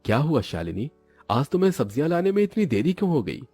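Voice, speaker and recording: A man acts out a modest, patient cartoon husband. His voice is husky and swings widely in pitch, recorded dry over music. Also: big pitch swings, fast talker, mellow. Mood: mild, caring. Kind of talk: cartoon voice acting.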